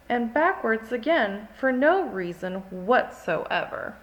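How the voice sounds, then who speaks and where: A haughty little furry forest creature, voiced by a woman, tells its side of a picture-book tale. It sounds high and highly animated, dwelling slowly and dramatically on each grievance. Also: highs cut off.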